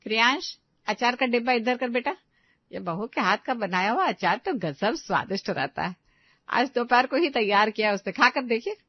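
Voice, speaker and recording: A woman's voice: fairly high pitch, animated, normal speed, no room sound. A woman puts on an older Indian mother-in-law for a cartoon family story. She is bossy and homely, given to nagging. The audio is dry and slightly muffled. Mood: coaxing, pleased.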